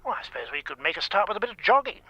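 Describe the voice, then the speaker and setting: Dithering friend, blithe, high lively pitch, rapid, thin dry telephone sound, radio comedy. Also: male voice, husky, no room sound, full character voice. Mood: blithe, vague. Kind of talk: radio comedy drama acting.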